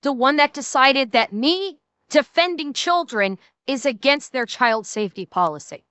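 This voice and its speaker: Indignant bright-voiced woman streamer. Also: sits high, animated, normal speed, clean tone, dry recording. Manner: indignant, swearing, talks to chat. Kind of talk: live stream chat with viewers.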